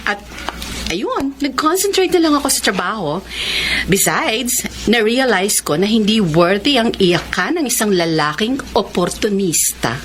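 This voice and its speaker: A woman's voice: medium pitch, animated, a little slow, fairly bright tone, a little hiss or hum. A Filipino woman playing a poised, guarded young career woman in a radio romance, speaking affected Tagalog peppered with English. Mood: breezy, proud. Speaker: actress in a radio romance drama.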